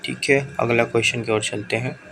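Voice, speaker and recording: A man with a sore throat teaches an exam-prep quiz lesson in a gravelly voice. His pitch stays flat and level as he reads out questions and options. The recording carries clear background noise. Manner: brisk, urges viewers to answer quickly.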